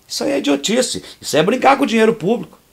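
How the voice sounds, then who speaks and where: A man's voice: very high voice, expressive, racing, vocal fry, husky. A man, a filmmaker and actor, giving outspoken and opinionated commentary on how his film was made, talking freely with restarts. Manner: restarts and repeats words while talking freely. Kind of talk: commentary over a making-of.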